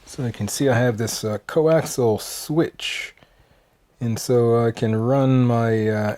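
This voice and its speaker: Male hobbyist host, mid-pitched and slow, halting and informal, showing things at home on a phone. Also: steady tone, warm tone. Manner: hesitant, halting delivery with frequent pauses. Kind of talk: casual unscripted show-and-tell. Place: at home indoors, later outside in a yard. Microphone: handheld phone.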